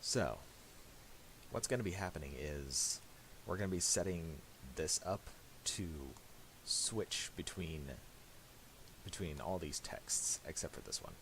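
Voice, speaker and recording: A man giving a casual software tutorial, speaking haltingly and restarting his phrases as he explains each step. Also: low-pitched, expressive, slight background noise, rough recording. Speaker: tutorial presenter.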